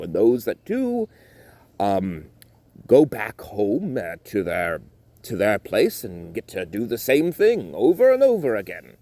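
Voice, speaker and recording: Man doing a storybook sea king, older, stern, dismissive, lively pitch. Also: dry recording.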